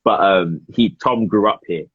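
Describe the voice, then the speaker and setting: A man's voice: fairly low pitch, normal speed, a little hiss or hum. Chatty man on a lo-fi call. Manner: chatty, laughs often, rambling. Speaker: podcast host. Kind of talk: casual video call chat. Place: home. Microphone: video call. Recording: dull lo-fi sound.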